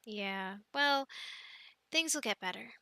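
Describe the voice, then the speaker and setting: A woman playing a devout, affectionate, playful young girlfriend in a casual roleplay, chatting softly and hesitantly with giggly fillers and pauses. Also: mid-range voice, lively intonation, no room sound, exaggerated voice. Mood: casual, caring. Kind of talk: soft girlfriend roleplay, casual improvised-sounding chat.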